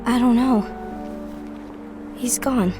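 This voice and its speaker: A woman's voice: a little high, slow pace, over music. An actress playing an earnest, protective young female leader with an emotional manner in a video game cutscene. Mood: worried, subdued.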